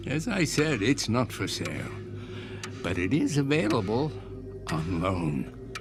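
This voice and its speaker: An actor playing an older eccentric inventor in a radio drama, courteous and mysterious, talking in a measured, professorial way with small hesitations. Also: male voice, on the low side, big pitch swings, creaky, over music, heavily acted. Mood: quietly eager. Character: eccentric old inventor, courteous, mysterious, quietly persuasive salesman.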